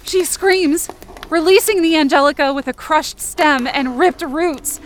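A woman playing a frightened young girl who narrates an audio fiction horror story in a tense, breathy, emotional way. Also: high-pitched, a little slow, slight background noise. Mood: panicked, desperate. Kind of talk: first-person dramatic audio fiction narration.

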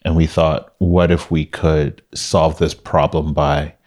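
Man from Miami, very low voice, interview guest, relaxed storytelling, frequent restarts. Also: slow pace. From Miami, Florida. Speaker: guest, company founder. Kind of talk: casual interview talk.

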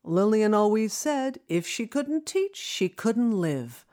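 A woman narrating a scripted first-person audio drama in an expressive, reflective storytelling manner. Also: mid-range voice, takes it slowly. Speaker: narrator, singing student.

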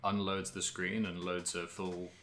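A man's voice: low-pitched, flat delivery, measured pace, fairly bright tone. A man co-hosting a podcast, chatting casually about video games in a rambling, hesitant way and often correcting himself. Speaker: podcast co-host.

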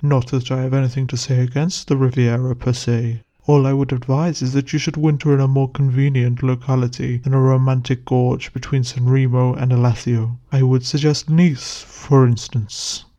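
A woman voicing a grave, solemn male family lawyer in an audiobook, with a measured delivery and heavy pauses. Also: very deep voice, level tone, warm tone, pitched below the performer's own voice. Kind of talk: audiobook character reading.

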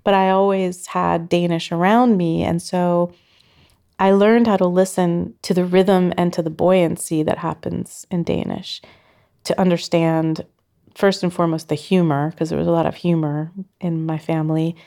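A woman sound artist speaks in a low register with a gravelly fry running through her words. She talks reflectively and loosely, searching for her phrasing as she goes. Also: fairly even intonation, normal speed, mellow. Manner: thoughtful, loosely phrased with frequent "like". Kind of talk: reflective interview talk.

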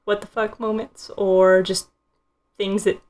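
A woman's voice: medium pitch, flat delivery, takes it slowly, a little mellow. A woman hosting a casual film discussion, talking informally and retelling scenes with lots of "like". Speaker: podcast host reading questions.

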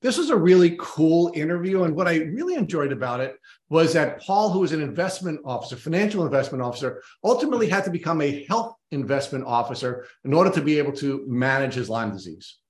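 A man's voice: on the high side, animated, quick pace. A man co-hosting a podcast, introducing his guest in a friendly, enthusiastic way, with some ums between phrases. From Long Island, New York. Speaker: podcast co-host. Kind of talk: interview hosting. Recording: roomy echo.

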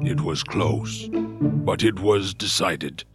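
A man voicing a solemn, honorable orc warrior, formal and grave, in a family audio drama. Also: slow pace. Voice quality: deep, gravelly. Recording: loud music under the voice. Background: loud background music.

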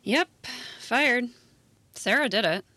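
An actress playing a jaded, sarcastic high school teacher, an American woman with a blunt, deadpan manner, in a naturalistic audio drama. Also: slow pace, dry recording, exaggerated voice.

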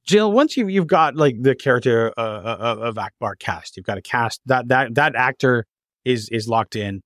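A male host puts questions in a casual, unscripted way, halting and regrouping as he forms them. His voice has a middle pitch and is recorded dry, with little echo. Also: lively intonation, fairly bright tone. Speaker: interviewer, host.

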